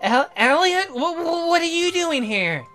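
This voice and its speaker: A man's voice: extremely high pitch, big pitch swings, measured pace, pushed and bright, soft background music, voice pushed up, heavily acted. A man voicing a tender, guilt-ridden young woman from a romance visual novel, speaking in an earnest, pleading way. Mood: stunned.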